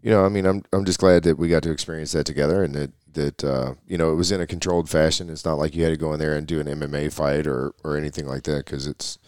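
Laid-back male co-host with a very low voice who keeps the talk going with easy agreeing fillers. The sound is dry and close, with no room around it. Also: fairly even intonation, fast talker, few pauses, darkish tone, no echo. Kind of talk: casual chat.

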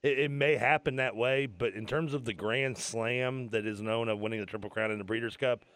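A man's voice: mid-range voice, steady tone, brisk, a bit bright, dry recording. A man co-hosting a radio sports talk show, joking and rambling with frequent stumbles and restarts.

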